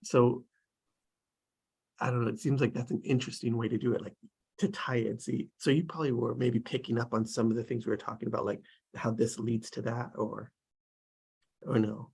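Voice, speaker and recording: A man's voice: mid-range voice, steady tone, moderate pace, gravelly, darkish tone, husky. A man talking casually about a script at a table read, rambling with many restarts.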